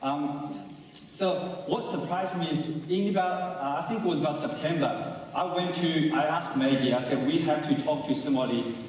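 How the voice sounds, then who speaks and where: A man moderating a live panel, telling stories in an emphatic, animated way. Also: fairly high pitch, moderate pace, slight background noise. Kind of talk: live panel talk on stage. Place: large event hall. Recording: echoey hall, distant mic.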